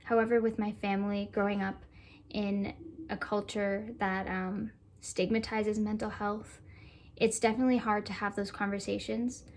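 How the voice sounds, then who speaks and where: A woman's voice: medium pitch, little pitch movement, moderate pace. A seventeen-year-old girl from Canada giving composed, articulate interview answers. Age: teens. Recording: faint background noise.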